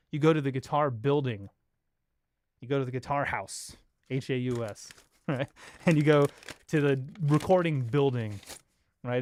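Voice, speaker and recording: A man's voice: medium pitch, clear, resonant tone, dry recording. An American man from Los Angeles hosting a casual livestream, chatting informally with viewers in a rambling, joking way. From Los Angeles, United States. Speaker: bass player and livestream host.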